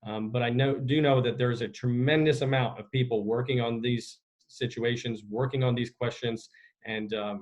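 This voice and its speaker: Measured, formal male official on a call. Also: medium pitch, a little mellow, rough recording. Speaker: executive director of a school sports association answering questions. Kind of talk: media conference call answers. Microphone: video conference call.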